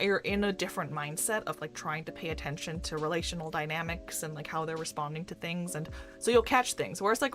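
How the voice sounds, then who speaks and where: A young woman who streams and works as a doctor, chatting casually with a fairly deep voice and a rambling, filler-heavy way of talking. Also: fairly bright tone. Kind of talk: casual chat with live chat readers. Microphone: desk microphone.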